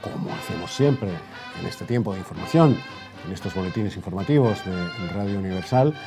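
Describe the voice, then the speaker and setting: A man with an accent from Spain presenting a news bulletin like a 1930s radio newscast, halting and repeating phrases for emphasis. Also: low-pitched, expressive, over music.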